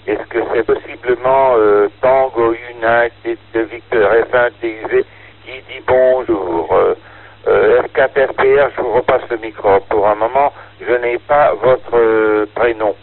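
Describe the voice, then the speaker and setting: An older man talking casually over amateur radio, giving call signs and speaking in a hesitant, halting way. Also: mid-range voice, steady tone. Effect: two-way radio. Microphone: radio transceiver microphone. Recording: narrow, lo-fi two-way radio link.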